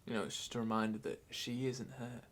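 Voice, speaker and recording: A man's voice: mid-range voice, fairly quick, natural voice. A man playing a bitter, grieving grandchild in a raw, conversational film monologue. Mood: regretful. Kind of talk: dramatic monologue in a short film.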